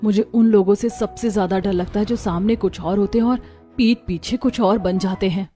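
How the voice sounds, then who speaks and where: A woman's voice: mid-range voice, fairly even intonation, moderate pace, warm tone, faint music behind, close to an everyday voice. A woman voicing a hurt, guarded young daughter-in-law in a family cartoon story. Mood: sad, fearful. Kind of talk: Hindi cartoon story acting.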